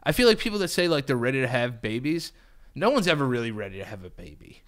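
A young man co-hosting a podcast, teasing and animated, swearing freely on a desk mic. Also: medium pitch, fast talker. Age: young adult. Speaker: podcast co-host. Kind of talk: casual chat. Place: room with a keyboard. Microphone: studio mic on desk stand, headphones.

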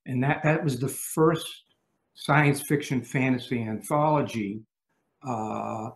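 Older male panelist, hesitant and reflective, mid pitch, echoey home room. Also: steady tone. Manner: halting, many restarts. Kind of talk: video call panel discussion. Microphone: video call.

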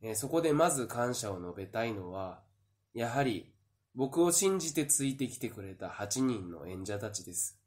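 A man's voice: mid-range voice, very animated, moderate pace, darkish tone. A man giving a polite, earnest thank-you announcement as the director of a drama troupe. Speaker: troupe director and writer giving closing address.

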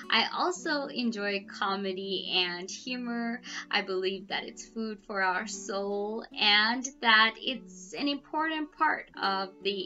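A young woman with a bright, crisp voice introduces herself as an English tutor, smiling and speaking slowly. She talks into a headset mic in a small room at home. The audio is a little dull, with soft guitar music underneath. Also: medium pitch. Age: young adult. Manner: cheerful, smiling, measured delivery. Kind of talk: self-introduction video.

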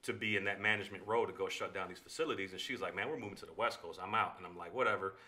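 A man in his forties whose first language is Spanish, talking fast with a bright, forceful tone. He swears freely and tells stories in an animated way. He is a podcast guest in a small living room, speaking into a standing mic. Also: low-pitched. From United States. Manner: fast, profane, animated storytelling. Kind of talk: casual storytelling chat. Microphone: studio mic on a stand.

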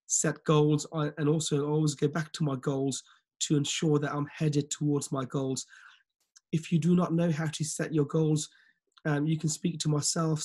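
A man in his forties, slightly croaky, hosting a motivational video call for his team, talking earnestly with lots of fillers and restarts. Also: on the high side, darkish tone. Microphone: laptop webcam on a video call.

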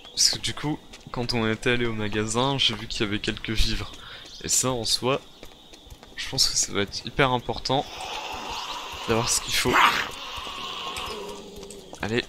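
Guy roleplaying through a survival game, talking to himself and repeating stuff, normal pitch, really noisy audio with clicks. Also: steady tone, moderate pace, long pauses. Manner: muttering to himself, repeating phrases. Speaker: gamer playing a zombie survival roleplay series. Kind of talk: improvised in-character gameplay commentary.

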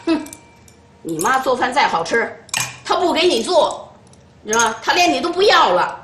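A woman's voice: high-pitched, sing-song, normal speed, echoey room, a little hiss or hum. An actress playing a harsh, domineering older grandmother who nags and scolds sharply. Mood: taunting. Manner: nagging, scolding, sharp tongue. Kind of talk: TV drama acting.

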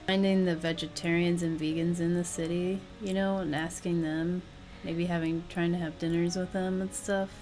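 A woman's voice: on the low side, little pitch movement, moderate pace, darkish tone, soft background music. A young woman co-hosting a casual home podcast, earnest and rambling with lots of fillers, recorded on a webcam mic in a room with a green curtain. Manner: earnest, rambling, says like a lot. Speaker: podcast co-host. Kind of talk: casual chat.

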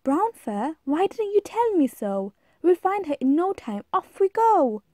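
A woman's voice: very high-pitched, sing-song, measured pace, warm tone, no echo, clean studio sound, pitched above the performer's own voice, heavily acted. A woman voicing a helpful, confident butterfly in a rhyming storybook, eager and encouraging. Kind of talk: rhyming storybook read-aloud.

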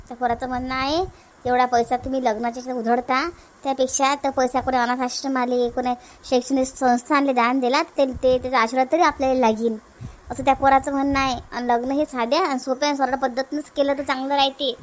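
Someone voicing a high-pitched, chatty village mother. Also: level tone, quick pace, natural voice. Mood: explaining, insistent. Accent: Varhadi Marathi. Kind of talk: comic family cartoon dubbing.

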